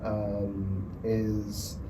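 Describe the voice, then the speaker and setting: A man answers questions on a festival panel, relaxed and hesitant, full of ums. He sits well back from the microphone in a cinema event room. Room noise hangs behind him. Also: on the low side, drawn-out words. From Angola. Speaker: documentary director. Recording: distant mic, noisy room.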